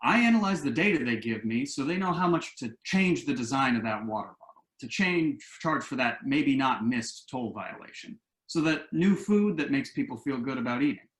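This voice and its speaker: A man playing an earnest, optimistic grown son in a play reading, explaining himself like someone making a pitch. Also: expressive, moderate pace, few pauses, natural voice. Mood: salesmanlike. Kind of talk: virtual table read of a stage comedy-drama. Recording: roomy, echoey home recording.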